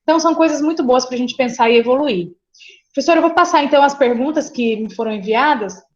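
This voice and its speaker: A woman's voice: high voice, quick pace, dull audio. A woman moderating a webinar, thinking aloud with hesitant restarts on a video call.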